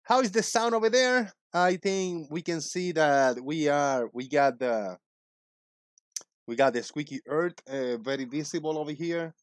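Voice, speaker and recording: A man in his forties hosting a casual livestream, talking in a loud, joking, rambling way. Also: a little high, a little slow, no echo. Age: 40s. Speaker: livestream host.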